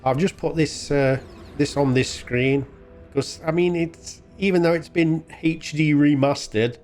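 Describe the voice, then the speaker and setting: A man's voice: a little high, measured pace, darkish tone, soft background music. Man giving chatty, informal game commentary. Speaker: host of a retro gaming channel. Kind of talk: casual off-the-cuff gameplay commentary.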